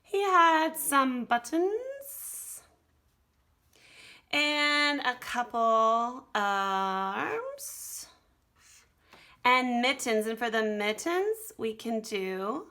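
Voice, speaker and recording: A woman's voice: on the high side, sing-song, drawn-out words, pauses often, sharp tone. A woman teaching an art activity to children step by step, speaking directly to her young viewers in an encouraging, instructional way. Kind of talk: step-by-step drawing lesson for children.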